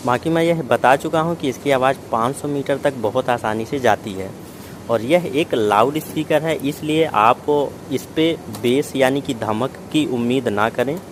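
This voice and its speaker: A man's voice: mid-range voice, unhurried, noisy background. A man narrating a product unboxing in a methodical, explanatory way, step by step. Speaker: product reviewer.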